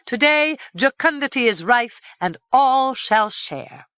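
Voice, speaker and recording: A woman voicing a stately, grave fairy king who speaks in a measured, formal way. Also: on the high side, lively intonation, fairly bright tone, clean tone, no echo, voice pushed up. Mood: solemn.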